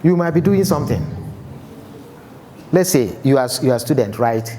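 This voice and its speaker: A man's voice: medium pitch, expressive, moderate pace, mellow. Man lecturing in an echoey hall. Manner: explanatory, checks understanding with the audience. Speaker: fire safety instructor. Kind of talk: seminar lecture. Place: large lecture room. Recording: echoey hall with faint background noise.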